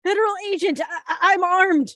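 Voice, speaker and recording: A woman's voice: very high voice, fairly quick, a bit bright, no room sound, clean studio sound. A woman playing a frightened, defensive FBI agent in a scripted table read, nervous, stammering and trying to stay official. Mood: shaky, alarmed.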